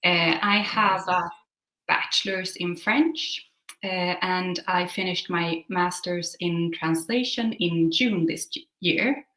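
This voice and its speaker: Bright young Swedish woman on a video call. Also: on the low side, takes it slowly, roomy sound, poor audio. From Sweden. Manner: hesitant, many "uh" and "um". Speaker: guest, new translator.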